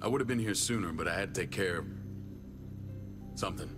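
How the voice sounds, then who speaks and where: An actor playing a stern, middle-aged American survivor-camp authority in a video game, curt, commanding and menacing. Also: male voice, low-pitched, brisk, music in the background, lightly acted. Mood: curt, impatient. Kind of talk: dramatic video game voice acting.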